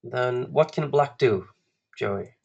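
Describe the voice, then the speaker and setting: A man with an Icelandic accent speaks as a coach guiding students through an online lesson. His delivery is slow and deliberate, broken by long pauses as he picks his words. His voice sits on the higher-pitched or middle side of neither extreme, an ordinary male register. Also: creaky, darkish tone, slightly rough, muffled recording. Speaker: guest chess coach.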